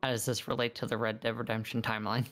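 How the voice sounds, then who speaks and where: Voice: medium pitch, flat delivery, fast talker, a bit bright. A player in a tabletop game group, chatting casually and teasing the game master. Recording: faint music behind.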